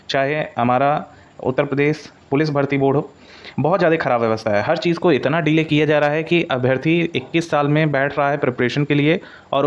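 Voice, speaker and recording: A man giving an informal explanatory update as a coaching presenter, mixing in English words and repeating points for emphasis. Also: on the high side. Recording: dull, lo-fi audio.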